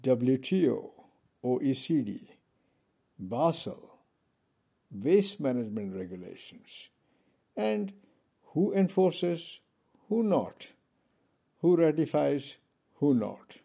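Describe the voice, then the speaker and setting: Man from India, podium speech, very slow delivery, deep mellow tone, pointed and measured. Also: very animated, creaky, slightly rough. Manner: measured, pointed, with pauses between phrases. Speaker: conference speaker.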